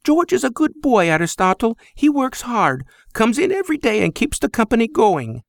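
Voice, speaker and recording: A man's voice: extremely high pitch, wildly swooping pitch, moderate pace, gravelly, no room sound, studio quality, voice pushed up, heavily acted. A man voicing an older Greek immigrant mother who scolds in broken English. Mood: fond.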